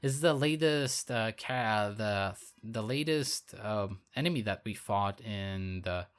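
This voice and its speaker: A hoarse man who is losing his voice, giving casual, rambling commentary on a game update as a video creator. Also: on the low side, takes it slowly, fairly bright tone. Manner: rambling, filler-heavy, repeats himself.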